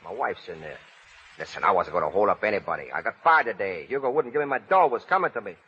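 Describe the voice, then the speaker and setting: An actor playing a proud, hot-tempered working-class young husband in 1930s radio drama, with gruff, slangy talk. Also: male voice, on the low side, lively intonation, quick pace, sharp tone, exaggerated voice. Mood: pleading, desperate. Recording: muffled, lo-fi 1930s radio broadcast.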